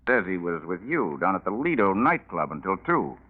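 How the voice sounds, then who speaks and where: A shrewd police lieutenant in an old radio crime play. His low voice moves widely as he probes with sardonic control. The recording is thin and tinny, with a narrow 1940s radio sound. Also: sing-song, normal speed. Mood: probing. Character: shrewd, dogged male police lieutenant grilling a crook.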